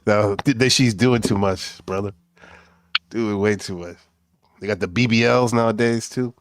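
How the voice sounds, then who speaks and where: Laid-back lower-voiced man co-hosting. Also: moderate pace, dry recording. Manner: laid-back, chuckling, greets viewers. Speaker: livestream co-host. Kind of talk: casual chat.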